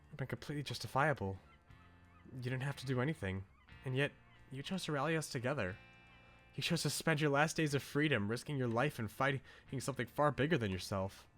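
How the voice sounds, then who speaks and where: A man's voice: mid-range voice, animated, brisk, fairly bright tone, lightly acted. A man voicing an earnest, devoted young woman from a visual novel, reading her lines with emphasis. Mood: admiring, earnest. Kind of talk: reading visual novel dialogue aloud in character. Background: game music.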